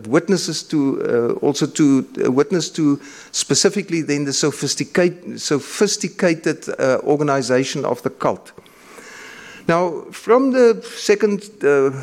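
Halting Afrikaans-speaking male lecturer. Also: fairly high pitch. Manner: measured, halting with frequent fillers and restarts. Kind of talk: academic lecture.

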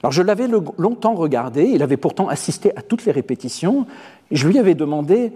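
Theatre director guy, arabic is his first language, husky voice, slow deliberate phrasing, lots of hall echo. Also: a little high, very animated, dark tone. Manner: measured, eloquent, deliberate phrasing. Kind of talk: formal spoken essay on theatre. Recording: long hall reverb.

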